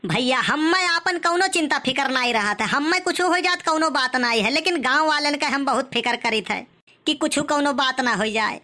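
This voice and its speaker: Guy playing a stingy awadhi village host, cartoony high sharp voice, all fake politeness and excuses. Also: normal speed. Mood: mock apologetic. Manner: mock-polite, excuse-making. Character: sly, stingy village host, adult man. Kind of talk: comic cartoon dialogue.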